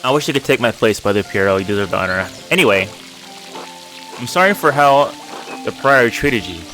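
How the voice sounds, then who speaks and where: A man reads as a devoted young artist in a narrative game. He sounds earnest and sympathetic, with music clearly playing behind the voice. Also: mid-range voice, expressive, brisk, pushed and bright. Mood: indignant sympathy. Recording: music under the voice.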